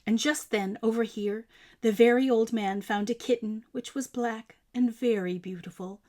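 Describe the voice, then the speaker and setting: Female picture-book narrator, higher register, expressive and emphatic for young listeners. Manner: expressive, emphatic, deliberate phrasing for children. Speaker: storyteller. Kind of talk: picture book read-aloud.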